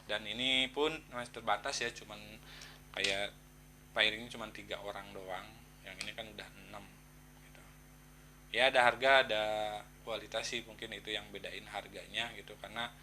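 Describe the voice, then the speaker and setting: A man chats casually to viewers in a product review, halting and thinking aloud in slangy, informal speech. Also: fairly low pitch, fairly even intonation, bright, forceful tone.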